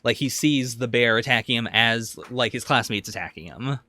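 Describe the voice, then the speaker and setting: A man's voice: medium pitch, brisk, sharp tone, no room sound. Analytical man in close, dry sound. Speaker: podcast co-host. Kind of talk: casual anime discussion.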